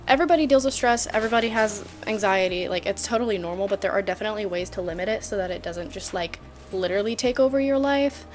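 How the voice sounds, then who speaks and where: A woman's voice: medium pitch, fast. Bubbly twenty-year-old woman, casual vlog chat to camera, joking and full of fillers, faint music behind. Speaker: vlogger.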